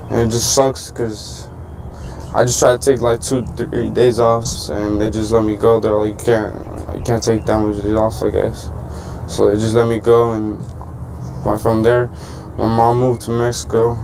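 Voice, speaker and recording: A man's voice: medium pitch, darkish tone, a little hiss or hum, poor audio. A nineteen-year-old man answering a street interview in casual, slangy talk.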